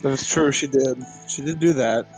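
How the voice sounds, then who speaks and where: Male gamer, medium pitch, plain-spoken casual table talk, faint music behind. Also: moderate pace, darkish tone, poor audio. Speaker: player in a tabletop game. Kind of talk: casual gaming chat. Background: background music.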